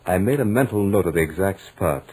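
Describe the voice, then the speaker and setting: Deep-voiced studious young gentleman, radio horror. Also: expressive, slight background noise. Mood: tense, absorbed. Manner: measured, dramatic storytelling. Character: studious young male lodger, dryly amused, stubborn. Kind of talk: 1940s radio horror acting, first-person narration. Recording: old radio drama recording with music.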